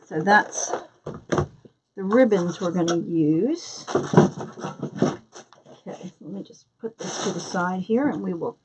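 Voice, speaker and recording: A woman's voice: on the low side, takes it slowly, long pauses, darkish tone, husky, low-quality recording. A woman with a Philadelphia accent hosting a live craft tutorial, chatting and greeting viewers by name as she works. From Philadelphia area, US. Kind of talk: live-stream craft demonstration.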